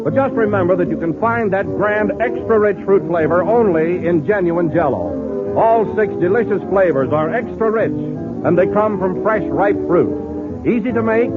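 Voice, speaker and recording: High-voiced American radio announcer over loud music. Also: male voice. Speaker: radio announcer and comic sidekick. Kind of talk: 1930s radio announcing and ad reading. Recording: narrow-band old radio broadcast.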